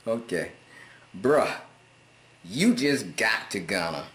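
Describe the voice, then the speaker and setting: A man's voice: on the low side, sing-song, takes it slowly, fairly bright tone. A middle-aged American man reading viewer comments aloud and answering them in a laid-back, amused and sarcastic way. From United States. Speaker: vlogger.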